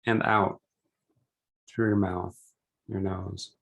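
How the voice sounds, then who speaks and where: Male guided-meditation leader, lowish pitch with plenty of vocal fry, gentle and measured delivery with pauses between phrases. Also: little pitch movement, highs cut off.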